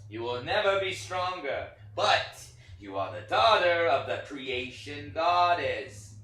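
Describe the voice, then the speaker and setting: A man acts out an imperious talking puppet playing mother, high and piercing, handing out stern advice very slowly. It sounds like a stage reading in a roomy space. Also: animated, pushed and bright, heavily acted. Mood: scolding. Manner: deliberate, imperious, advising. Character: talking puppet, bossy scolding surrogate mother. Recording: roomy, far from mic.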